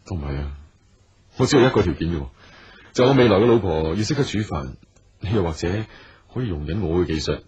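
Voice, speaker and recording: An actor playing a patient, persistent businessman in a radio drama, speaking calmly and earnestly. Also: low-pitched, a little fast, long pauses, husky, dry recording, dull audio, exaggerated voice. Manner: calm, earnest and probing.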